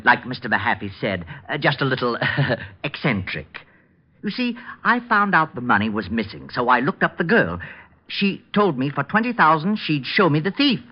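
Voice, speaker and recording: A man's voice: mid-range voice, big pitch swings, normal speed. A man playing a polite, whimsical, eccentric client in 1940s radio drama style. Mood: cheerful, explaining. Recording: dull old radio recording.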